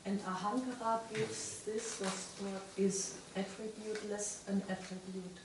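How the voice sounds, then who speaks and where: Female student, slow and hesitant; distant, echoey, noisy. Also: medium pitch, little pitch movement. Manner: hesitant, searching for words.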